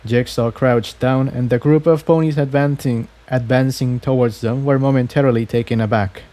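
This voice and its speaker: Male story reader with a mellow, relaxed tone, reading scripted prose with small stumbles. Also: mid-range voice, moderate pace. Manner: stumbles and repeats phrases while reading. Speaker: fan fiction reader.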